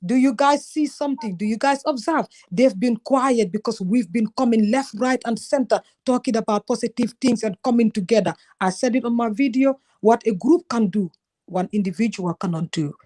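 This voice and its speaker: A woman's voice: on the high side, normal speed, mellow. A woman from Gambia, a YouTuber, speaking with passion in a casual group discussion and often quoting herself. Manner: passionate, emphatic storytelling, quotes herself often.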